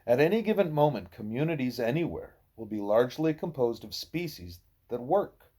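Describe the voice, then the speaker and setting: Male narrator for literary audiobook: soft husky timbre, relaxed tone, measured explanatory phrasing. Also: mid-range voice. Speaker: audiobook reader. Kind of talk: scripted narration of a novel in dialogue.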